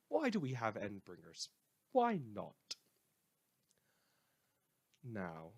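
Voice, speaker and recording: Man playing a theatrical, swooping sardonic radio newsman. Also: medium pitch. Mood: teasing, ominous. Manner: theatrical, sarcastic, mock-cheerful, emphatic. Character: sardonic, theatrical male radio news host in dystopia. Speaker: radio news presenter. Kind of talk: satirical scripted radio news broadcast.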